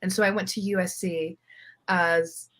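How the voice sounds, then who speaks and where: Animated young woman filmmaker. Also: lowish voice, normal speed. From Miami, Florida. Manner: animated, laughs often, storytelling. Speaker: guest filmmaker. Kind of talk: casual interview chat.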